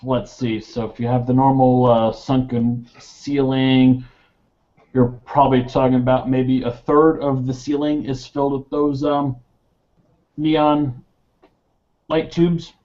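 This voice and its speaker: A man's voice: mid-range voice, level tone, slow, warm tone, highs cut off. A man in a tabletop roleplaying game, casually describing the scene and thinking aloud in a hesitant way.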